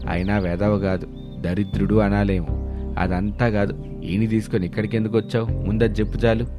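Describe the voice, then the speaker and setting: Man playing a gruff low-voiced village bully. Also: level tone, a little fast, over music, lightly acted. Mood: scornful. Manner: scolding, threatening. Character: gruff, scornful village man, haughty and greedy.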